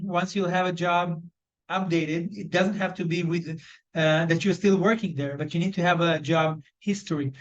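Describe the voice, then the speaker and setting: High-voiced Israeli man leading online training. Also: dull audio. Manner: loose, conversational, lots of fillers and restarts. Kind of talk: live online training session. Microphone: video call.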